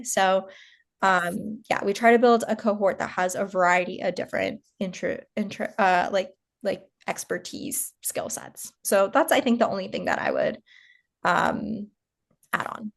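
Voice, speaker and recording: Woman co-presenter with mid-pitched voice. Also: gravelly. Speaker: co-presenter of an informational call. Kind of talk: informal clarifying explanation. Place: online group call.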